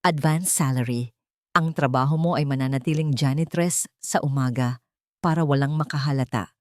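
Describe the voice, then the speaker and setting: A woman narrating a scripted first-person drama in a measured, emotive way. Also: sits low, fairly even intonation, dry recording. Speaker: narrator of audio love story.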